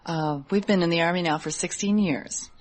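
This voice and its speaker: A woman's voice: on the low side, moderate pace, a little hiss or hum. A woman in her thirties with an American accent, giving conversational answers as an interview guest. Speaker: interview guest, Army wife.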